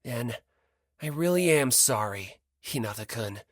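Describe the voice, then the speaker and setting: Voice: medium pitch, lively intonation, a little slow, dry recording, clean studio sound, lightly acted. A reader voicing a self-deprecating, earnest teenage boy in an audiobook, politely and effusively apologetic. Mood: fervent, self-deprecating. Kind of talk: dramatic audiobook character reading.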